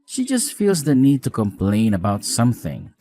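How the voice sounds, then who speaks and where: A man playing a weary, apologetic newlywed husband in a scripted audio drama, earnest, expressive, caring and frank. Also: mid-range voice, normal speed. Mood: concerned.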